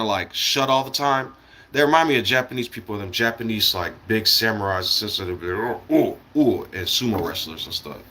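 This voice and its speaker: Bright-voiced, blunt American man. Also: on the low side. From United States. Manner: blunt, opinionated, profane. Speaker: live streamer. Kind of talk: casual rambling livestream monologue.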